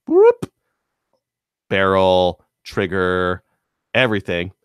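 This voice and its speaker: A man's voice: low voice, clear, resonant tone, no room sound, studio quality. A man talking casually as a podcast co-host, explaining things in stop-start phrases. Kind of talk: casual gun-hobby chat.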